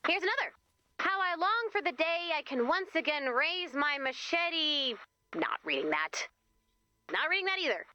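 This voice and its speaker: An actress playing a young, perky camp leader in a video game, chatty, expressive and wryly exasperated. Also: female voice, high voice, normal speed, no echo, heavily acted. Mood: wry, incredulous. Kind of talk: video game character voice lines.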